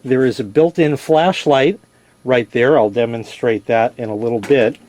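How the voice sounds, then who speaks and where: A man's voice: mid-range voice, normal speed, a little mellow, no room sound. Man in a dry-sounding product demo. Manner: conversational, plain-spoken, explanatory. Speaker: product reviewer, presenter. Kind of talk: casual product demonstration, explaining step by step.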